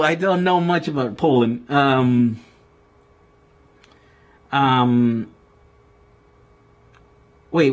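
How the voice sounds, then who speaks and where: Rambling man with a higher voice. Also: a bit bright. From Brazil. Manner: rambling, many restarts and fillers. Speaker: vlogger answering live viewer questions. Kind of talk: casual live Q&A chat. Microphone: outdoor camera with mic.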